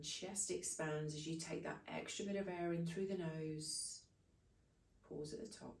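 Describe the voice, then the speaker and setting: A woman guides a breathing meditation in short, unhurried phrases. Her voice is mellow and soothing, with very little rise and fall. It sounds roomy and echoey, as if picked up away from the microphone. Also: deep voice. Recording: echoey room, distant from microphone.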